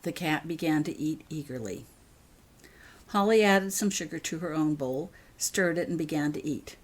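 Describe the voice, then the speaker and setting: A woman's voice: low voice, moderate pace, slightly rough. A woman reading her own story aloud as scripted audiobook narration. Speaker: author reading own fan fiction.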